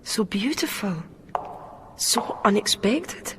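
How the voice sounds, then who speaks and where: A woman's voice: medium pitch, animated, slow, fairly bright tone, a little hiss or hum. A woman acting in a radio drama as a timid, anxiously polite woman with a hesitant, apologetic manner.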